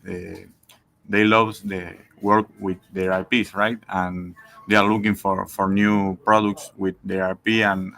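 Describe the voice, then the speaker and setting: A man from Argentina with an Argentine Spanish accent, a guest speaking halting English as a second language. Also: lowish voice, a bit bright, rough recording. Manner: halting, searching for words. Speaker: podcast guest.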